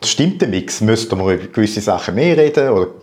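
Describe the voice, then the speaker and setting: A man's voice: lowish voice, sing-song, a little fast, darkish tone. A man with a Swiss German accent, hosting a podcast and casually putting questions to a guest. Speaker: podcast host, interviewer. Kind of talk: casual interviewing.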